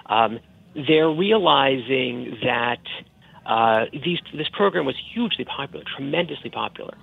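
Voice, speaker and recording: Gravelly-voiced man explaining as guest. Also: mid-range voice, animated, measured pace, phone-quality sound. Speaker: author interviewed as guest. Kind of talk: explanatory podcast interview.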